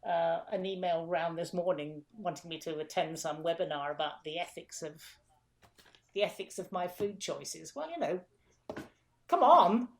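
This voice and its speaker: A woman's voice: fairly low pitch, animated, moderate pace. An older woman with grandchildren, a guest in an interview, answering in a forthright, emphatic way and often repeating herself as she thinks aloud.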